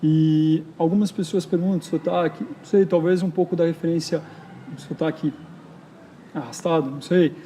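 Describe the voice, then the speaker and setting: A man from São Paulo with a São Paulo accent, speaking as a poet interviewed in a park in a reflective, halting way full of hesitations. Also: a little high, fairly even intonation, dark tone, slight background noise. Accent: São Paulo Brazilian Portuguese. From São Paulo, Brazil. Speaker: poet and writer, interview guest. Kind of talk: casual interview conversation. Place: outdoors in a park.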